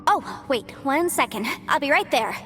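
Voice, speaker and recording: Chatty, upbeat young adviser. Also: female voice, high voice, moderate pace, a little hiss or hum. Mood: reassuring. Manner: expressive, talkative, self-prompting. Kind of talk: video game voice acting.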